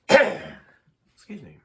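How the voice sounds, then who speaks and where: A man reading a story aloud as narrator, with a dramatic, expressive, folksy delivery. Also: very slow. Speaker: amateur story reader.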